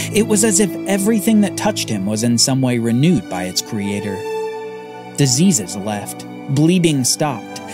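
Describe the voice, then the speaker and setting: A man hosting a podcast, telling a Bible story as scripted narration with dramatic pauses. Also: medium pitch.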